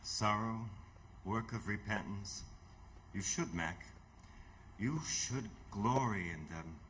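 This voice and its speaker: An actor playing a solemn, ageless, otherworldly mentor in old-time radio theatre, speaking in grave, measured, formal phrases. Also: male voice, fairly low pitch, fairly bright tone, a little hiss or hum. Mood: preaching.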